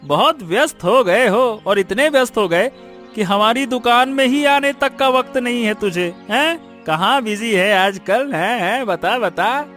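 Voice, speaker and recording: A man's voice: very high voice, lively intonation, a bit bright, soft background music, heavily acted. A man playing a greedy, sarcastic, grumbling village shopkeeper in a cartoon. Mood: sarcastic teasing. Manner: sarcastic, complaining, drawn-out teasing.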